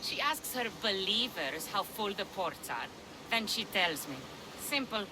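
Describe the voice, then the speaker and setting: A woman's voice: medium pitch, very animated, a little slow, noisy background. An actress playing a blunt, sardonic, foul-mouthed female pirate ship captain in a video game cutscene. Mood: grumbling, sardonic.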